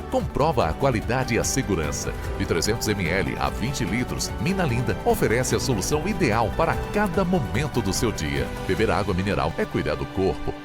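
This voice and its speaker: A man announcer reading a scripted advertisement in a smooth promotional delivery. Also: lowish voice. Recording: music bed behind voice.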